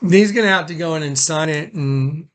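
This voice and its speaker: A man's voice: fairly high pitch, normal speed. A man talking casually on a recorded phone call, urgent and insistent, often repeating his instructions.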